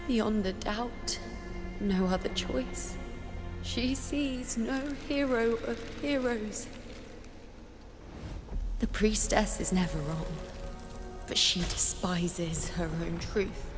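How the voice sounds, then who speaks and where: A woman playing an anguished priestess seer in a video game, solemn, theatrical and often breathy. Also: medium pitch. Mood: solemn foreboding. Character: anguished priestess seer despising her own visions of doom. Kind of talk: dramatic video game monologue. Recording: game music behind the voice.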